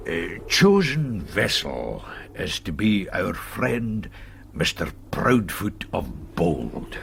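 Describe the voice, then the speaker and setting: Animated, wry older Scottish gentleman. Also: deep voice, vocal fry, noisy background, exaggerated voice. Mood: wry, confiding. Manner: animated, wry, measured phrasing. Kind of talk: radio drama dialogue.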